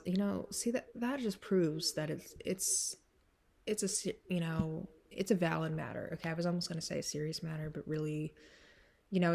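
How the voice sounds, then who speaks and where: A young woman with a stuffy, congested voice, chatting casually and rambling through an introduction before singing a cover song. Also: gravelly. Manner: rambling, many fillers, swings up high when emphatic. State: stuffy nose from sinus trouble.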